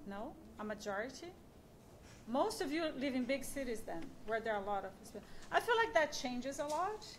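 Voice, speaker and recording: A woman's voice: high voice, sing-song, normal speed, a little hiss or hum. A middle-aged woman from Brazil with a Brazilian Portuguese accent, speaking frankly as a panelist in a conversation, with light humor. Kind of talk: panel discussion.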